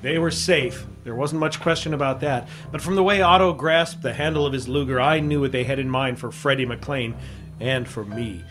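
An actor playing a tough, sardonic American reporter in a 1950s radio drama, with wry, clipped delivery. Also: male voice, mid-range voice, normal speed, over music, lightly acted. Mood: tense narration. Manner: hard-boiled, clipped, wry. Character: tough, sardonic American newspaperman hero and narrator.

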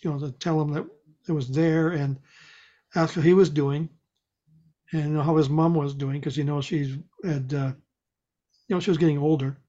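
A man with a somewhat high-pitched voice chats casually. He rambles mildly, pausing and hesitating as he goes. The sound is dull and lo-fi. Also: steady tone, mellow. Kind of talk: casual chat. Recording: dull, lo-fi audio.

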